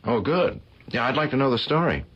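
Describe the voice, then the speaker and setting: A man's voice: medium pitch, expressive, fairly quick, fairly bright tone, breathy edge. A man playing a curious, friendly colleague in a vintage radio drama, chatty and informal. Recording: dull, lo-fi old radio recording.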